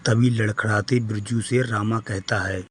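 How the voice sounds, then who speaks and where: A man's voice: on the low side, steady tone, measured pace, a little hiss or hum. A man reading scripted narration for a cartoon moral story.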